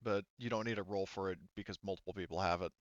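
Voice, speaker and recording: A man's voice: low voice, steady tone, fast talker, no echo. A man talking casually and explaining rules as the game master of a tabletop roleplaying game. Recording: dull, lo-fi voice-chat audio.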